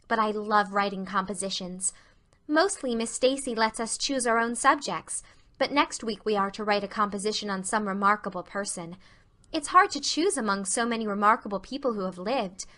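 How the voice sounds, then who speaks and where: Audiobook role: earnest romantic schoolgirl, played by a woman, effusive and dramatic, bright clear-edged tone. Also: medium pitch, lightly acted. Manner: gushing, dramatic.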